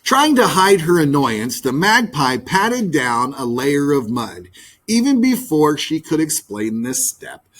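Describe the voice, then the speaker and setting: A man reads a picture book to children with a very lively, rising and falling delivery. His voice is slightly high, a little husky, and he takes his time over the words. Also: takes it slowly. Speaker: storybook reader, teacher. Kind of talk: expressive children's read-aloud narration.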